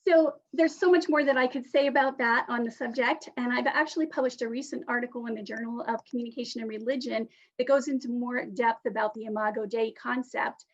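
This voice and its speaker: A woman's voice: on the high side, fairly even intonation, fairly quick, highs cut off. A woman speaking as an academic panelist, giving a prepared talk in an articulate, earnest way.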